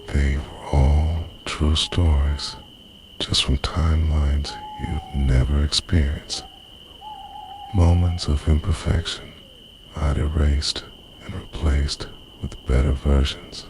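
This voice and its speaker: A man playing an obsessive, devoted boyfriend in an intimate ASMR roleplay, speaking in a hushed, breathy, deliberate way that slips into whispers. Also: extremely low pitch, full character voice. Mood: tender, confessing. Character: obsessive, devoted time-travelling boyfriend, softly confessing. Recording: noisy night ambience with crickets.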